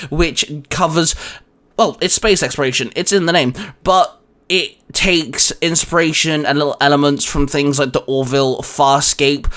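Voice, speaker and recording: Slightly high-voiced man hosting casually. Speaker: host and audio drama writer. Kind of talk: casual podcast chat and channel plugs.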